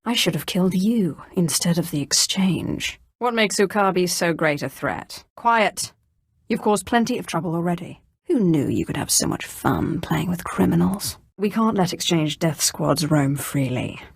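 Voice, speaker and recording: An actress playing an imperious, menacing Sith sorceress risen from slavery in a video game, with a haughty, commanding delivery. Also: female voice, fairly low pitch, animated, normal speed, gravelly, husky, no echo, clean studio sound, full character voice. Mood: threatening, disdainful. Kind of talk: scripted game dialogue.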